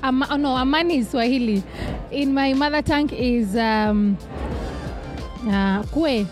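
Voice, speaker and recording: A woman's voice: high-pitched, a little slow. A woman giving a vox pop answer, hesitant and searching for words. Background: music.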